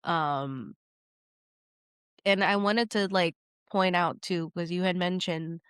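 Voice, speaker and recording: A woman's voice: on the low side, steady tone, takes it slowly, fairly bright tone, clean tone, no room sound, studio quality. A woman getting over a cold, sounding nasal, chatting as a podcast guest and rambling with lots of fillers. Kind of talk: casual conversation.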